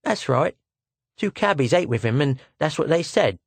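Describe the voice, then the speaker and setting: A man's voice: fairly high pitch, expressive, moderate pace, gravelly, breathy edge, dry recording, voice pushed up, full character voice. A man voicing a small, cheeky fruit seller in a story, slangy, ingratiating and impudent. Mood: amused, impudent.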